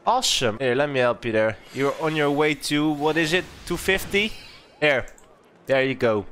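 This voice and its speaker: Guy streaming a game, kinda high bright voice, swears a lot, quick amused reactions. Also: a little hiss or hum. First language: Dutch. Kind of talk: casual gameplay commentary.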